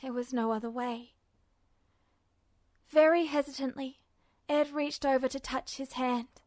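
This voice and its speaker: Woman, very high pitch, dramatic audiobook narration, dry recording. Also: steady tone, a little slow, a little mellow, dry recording. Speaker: fan fiction narrator.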